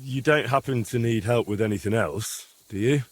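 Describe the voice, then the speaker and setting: An actor playing an earnest young adventurer in a video game, polite but easily exasperated. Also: male voice, medium pitch, normal speed, close to an everyday voice. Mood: polite, inquiring. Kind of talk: video game dialogue acting.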